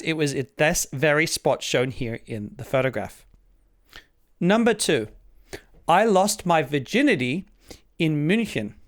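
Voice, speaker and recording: A man's voice: a little high, a bit bright. A man with an Australian accent, a dating coach talking straight to camera in an earnest, salesy way, often tagging his points with "right?". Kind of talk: direct-to-camera promo talk.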